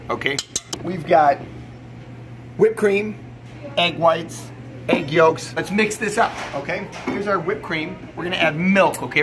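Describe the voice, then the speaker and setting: A middle-aged man giving a casual cooking demonstration, chatty and emphatic, often checking in with the viewer. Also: a little high. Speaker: chef presenting a recipe video. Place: indoor commercial kitchen or sandwich shop. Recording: clear background noise in working kitchen.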